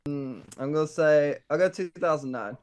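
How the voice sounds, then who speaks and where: A man's voice: a little high, moderate pace, a little mellow, clean tone. A man appearing as a guest on a casual movie podcast, giving short, easygoing answers.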